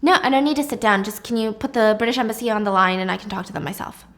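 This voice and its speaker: A woman's voice: mid-range voice, racing, a bit bright, lightly acted. A woman voicing a desperate, frantic wife who pleads urgently and insistently for help.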